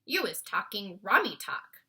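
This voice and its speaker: A hulking, greedy giant, put on by a woman reader, who booms out his broken grammar slowly and menacingly. His voice swoops up and down and rings out loud and bright. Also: sing-song, exaggerated voice. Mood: gruff. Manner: booming slow ungrammatical growl. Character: huge greedy male giant, suspicious and menacing.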